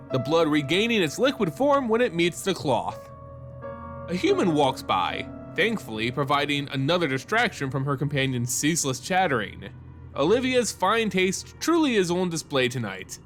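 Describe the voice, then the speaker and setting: A man's voice: a little high, fairly bright tone. A man reading a fantasy story aloud as narrator, in a dramatic scripted style.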